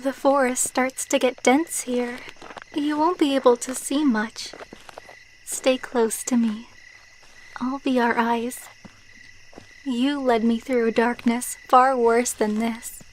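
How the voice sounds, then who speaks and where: Woman playing a slow, soft-spoken, wistful vampire girlfriend. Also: a little high, steady tone, a little hiss or hum, rough recording. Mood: calm, reflective. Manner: soft, intimate, unhurried, sentimental. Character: tender, wistful immortal female vampire girlfriend. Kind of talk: ASMR roleplay.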